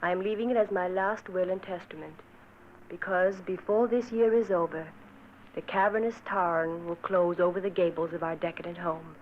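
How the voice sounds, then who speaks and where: A woman playing a gentle, timid young woman in a vintage radio play, speaking softly and solemnly. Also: lowish voice, fairly even intonation, moderate pace, natural voice. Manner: soft, solemn and hushed. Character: gentle young woman, timid and dutiful, narrating her fate. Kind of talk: 1940s radio acting. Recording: tinny old radio transcription with faint hiss.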